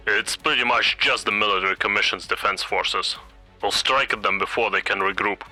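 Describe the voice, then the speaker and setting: A man's voice: on the low side, moderate pace, sharp tone, rough recording. A man acting a loyal, combative military guard captain in a game fan dub, firm and soldierly. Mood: urgent. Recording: background music under the voice.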